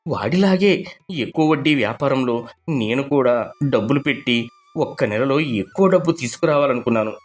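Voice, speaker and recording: Casting: male actor as strict, miserly family man for a cartoon moral tale, mid-pitched, very animated, light music underneath. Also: moderate pace, natural voice. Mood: ashamed, panicked. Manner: scolding, questioning. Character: stern, tight-fisted middle-class husband, bank clerk, belittling his wife. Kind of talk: Telugu animated moral story acting.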